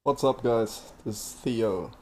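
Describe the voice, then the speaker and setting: Man, Swiss German accent, scripted presentation, measured, mellow, dark tone. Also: mid-range voice. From Switzerland. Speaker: video presenter.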